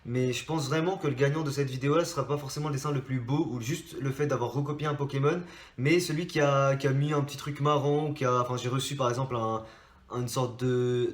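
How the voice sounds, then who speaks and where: A man's voice: medium pitch, echoey room. French man with a stuffy, blocked nose. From France. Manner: rambling, many hesitations and restarts. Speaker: drawing channel host. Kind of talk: casual chat to subscribers.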